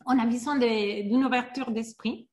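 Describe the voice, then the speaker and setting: A woman's voice: fairly high pitch, steady tone, normal speed, a little mellow. A woman agile coach presenting an online workshop, with many hesitations and restarts.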